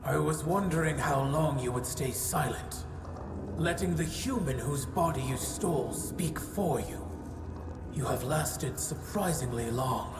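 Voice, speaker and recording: An actress playing an ancient giantess in a fantasy game, solemn, grieving and fiercely maternal, speaking gravely and formally. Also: female voice, low-pitched, measured pace. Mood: bitter, accusing. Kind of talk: dramatic fantasy game dialogue. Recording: echoey voice over loud music.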